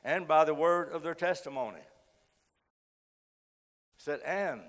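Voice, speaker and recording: Hoarse male preacher in a reverberant church. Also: a little high, expressive, measured pace, a bit bright. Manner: frequent amens, earnest preaching. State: hoarse from a cold. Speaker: guest preacher. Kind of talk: sermon with scripture reading. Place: church sanctuary. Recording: long reverb of a church hall.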